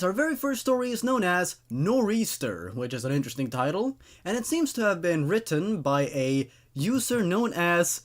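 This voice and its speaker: A man hosting and reading stories aloud in a scripted narration style. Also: fairly high pitch.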